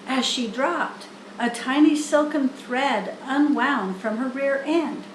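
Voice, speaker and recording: A woman reads a children's story aloud at an unhurried pace. Her voice is high, and the room is echoey with some background hiss. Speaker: storybook reader. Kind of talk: children's book read aloud.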